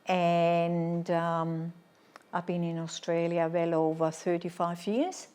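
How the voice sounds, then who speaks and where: A woman's voice: on the low side, little pitch movement, takes it slowly, background noise. An older woman from Switzerland introducing herself hesitantly in a documentary interview.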